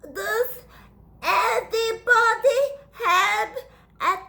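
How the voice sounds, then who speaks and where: A woman's voice: extremely high pitch, drawn-out words, gravelly, sharp tone, voice pushed up, heavily acted. A woman voicing a tiny, plaintive storybook flea with a stuffed-up, garbled, sniffly voice.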